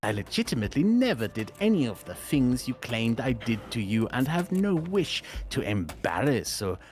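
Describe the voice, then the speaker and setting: A man's voice: medium pitch, sing-song, normal speed, a little mellow. A man reading aloud a formal, defensive letter.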